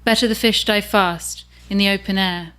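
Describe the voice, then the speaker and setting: A woman, reading the opening of her own novel aloud in an unhurried way. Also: medium pitch, fairly even intonation, sharp tone, clean tone. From England. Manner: measured and unhurried.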